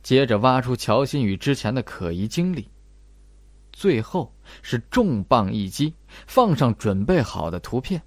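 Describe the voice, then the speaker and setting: Guy narrating as cool probing detective man, accusing, expressive pitch, dry sound, audiobook. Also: a little slow, no echo. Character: cold, probing male investigator laying out evidence. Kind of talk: audiobook character acting.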